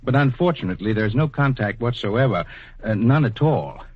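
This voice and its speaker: An actor playing a patient, kindly bureaucrat in 1940s radio drama, measured and officious with small hesitations. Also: male voice, medium pitch, lively intonation. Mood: patient, official. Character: patient, kindly, bureaucratic male superintendent of the afterlife. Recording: dull, husky old radio recording.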